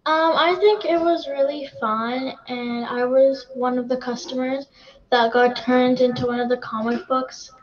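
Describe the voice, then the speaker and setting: A young woman talks casually about acting on a video call. Her voice is fairly high, and the audio is a bit muffled. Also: steady tone, unhurried. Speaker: amateur actor on a panel. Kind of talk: casual recollection.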